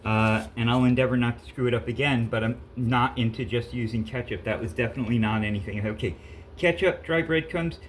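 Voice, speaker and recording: A man's voice: medium pitch, fairly quick, a little hiss or hum. Stuffy-nosed man chatting while he cooks. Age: 50s. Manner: rambling, self-correcting, chatty. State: stuffy with a cold. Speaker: home cook vlogger. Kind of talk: casual cooking vlog. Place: home kitchen.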